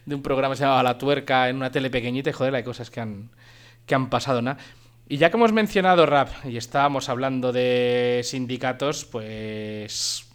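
A male radio host with a Spain accent talks in an informal, digressive way. His voice sits at a middle pitch as he chats and introduces music. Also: clean tone. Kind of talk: casual chat, presenting music.

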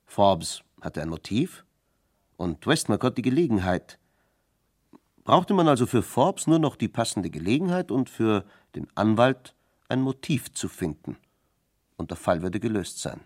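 Guy narrating a crime radio play, lowish voice, sober and factual, super dry studio sound. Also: sing-song, unhurried, a little mellow, no echo.

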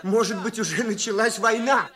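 A man's voice: high voice, moderate pace, pushed and bright. An actor playing a hot-headed, stubborn eccentric inventor in a 1960s Soviet radio play, blustering and repeating his indignant phrases. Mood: scornful outrage.

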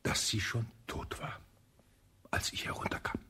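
An actor playing a sly colonel under police questioning in a radio play, with a husky voice, evasive and dryly sarcastic, often dropping to a whisper. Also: male voice, a little slow, pushed and bright. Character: sly elderly male colonel, suspected wife-killer.

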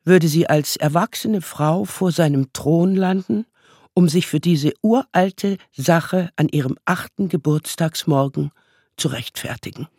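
A man narrating a radio play as storyteller of a child's magical world, with measured, dramatic phrasing. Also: a little high, darkish tone, husky, no echo, studio quality. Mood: storytelling.

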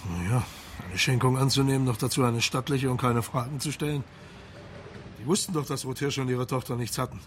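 An actor playing a pensive, sceptical police marshal in a radio crime drama, thoughtful and questioning. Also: male voice, medium pitch, fairly quick, slight background noise, natural voice.

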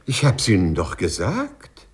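An actor playing a meek, fussy civil servant with a husky voice and hesitant, formal politeness in a 1950s German radio play. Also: male voice, fairly low pitch, wildly swooping pitch, a little slow, exaggerated voice. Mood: anxious. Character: meek, timid, fussy old-fashioned male civil servant patient. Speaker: patient.

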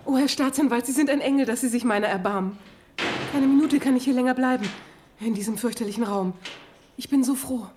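An actress playing an emotional, dramatic dancer with a breathy, impassioned manner in a German radio drama. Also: female voice, fairly high pitch, a little fast, background noise, close to an everyday voice. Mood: relieved, effusive. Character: emotional, flirtatious woman dancer, pleading and dramatic.

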